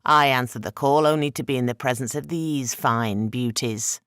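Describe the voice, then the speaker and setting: A man voicing a mischievous male trickster spirit with a halting, overpronounced accent, loud and taunting. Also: mid-range voice, clear, resonant tone, no echo, studio quality, lightly acted.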